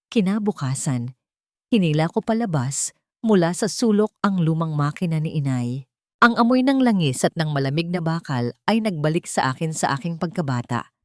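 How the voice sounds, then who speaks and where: A woman with a Filipino accent narrating a scripted first-person drama in measured, deliberate phrases. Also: low voice, darkish tone, no room sound, clean studio sound. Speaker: story narrator.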